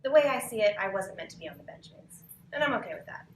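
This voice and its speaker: A woman's voice: medium pitch, sing-song, quick pace, close to an everyday voice. A woman playing an upbeat, earnest aspiring actress working as an assistant in a live table read. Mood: optimistic. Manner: bright-spirited, earnest. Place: cramped bookstore event, live. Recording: echoey live bookshop, faint noise.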